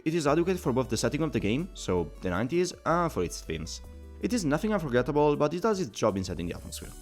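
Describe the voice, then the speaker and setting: A man narrating a scripted video game review, giving his opinions in an explanatory way. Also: fairly high pitch. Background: background music.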